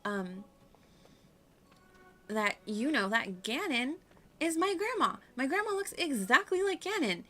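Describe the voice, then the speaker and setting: A woman's voice: high voice, big pitch swings, a little slow, long pauses, fairly bright tone. A woman giving casual, playful playthrough commentary as a game streamer, chatty and prone to giggling.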